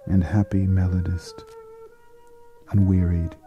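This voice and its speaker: A man giving a dramatic poetry recitation in a solemn, deliberate manner, pausing between short phrases. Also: deep voice, level tone. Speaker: poetry reader. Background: flute music.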